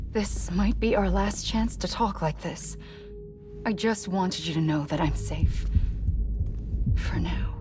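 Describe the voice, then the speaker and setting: An actress playing a grave, trapped woman who pleads with quiet hope in a dramatic video game scene, earnest and measured. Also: fairly low pitch, close to an everyday voice. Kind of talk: dramatic, intimate video game cutscene acting.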